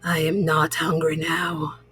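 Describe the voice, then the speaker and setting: A woman's voice: low-pitched, flat delivery, slow, a bit bright, slight background noise, pitched below the performer's own voice. A woman voicing a menacing, hungry old male frog ghost in a children's storybook.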